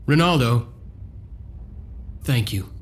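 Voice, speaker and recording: Stoic hero, mid-pitched. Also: male voice, lively intonation, slow pace, slightly rough. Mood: stoic, terse. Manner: terse, earnest. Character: stoic, resolute male monster-hunting warrior with a whip. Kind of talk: video game cutscene acting.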